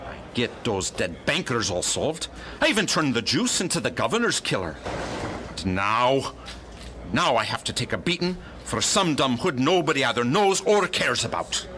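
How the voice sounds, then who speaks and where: Man acting a blustering, wildly animated police detective. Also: mid-range voice, moderate pace, slight background noise. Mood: aggrieved. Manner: blustering, brusque, talks over others. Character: pompous, blustering, self-important police detective, irritable and stubborn. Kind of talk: pulp detective radio drama acting.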